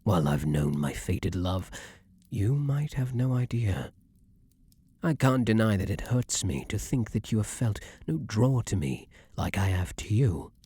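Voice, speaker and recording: A man playing an imperious, lovesick vampire king in an intimate ASMR fantasy roleplay, speaking formally and theatrically with breathy, dramatic pauses. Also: fairly low pitch, lively intonation, mellow, dry recording. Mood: anxious pleading. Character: ardent, imperious male vampire king, lovesick then menacing.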